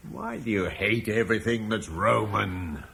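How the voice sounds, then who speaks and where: An actor playing an earnest, eloquent Romano-British landowner who speaks persuasively in defense of Rome's legacy, in a classic radio drama. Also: male voice, on the low side, a little slow, creaky, a bit bright, slightly rough, full character voice.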